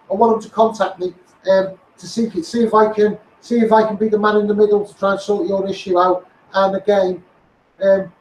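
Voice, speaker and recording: A high-voiced man with a Scouse accent declaims through a megaphone in an echoey, noisy recording. He speaks as a campaigner, hammering phrases home by repeating them emphatically. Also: far from the mic. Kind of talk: impassioned monologue rant.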